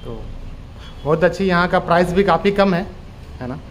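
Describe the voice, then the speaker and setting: A man with a high voice presents a casual vlog. He addresses viewers directly in an approving way, with faint noise around him indoors. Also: normal speed. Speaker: vlog host. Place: indoor salon. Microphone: behind the handheld camera. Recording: slight background noise.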